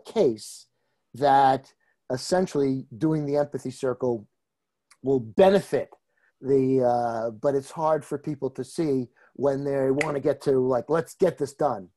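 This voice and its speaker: A man with a New York accent, a trainer speaking in a group discussion, pausing often with fillers. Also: a little high, warm tone. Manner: hesitant, many filler pauses. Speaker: empathy circle trainer.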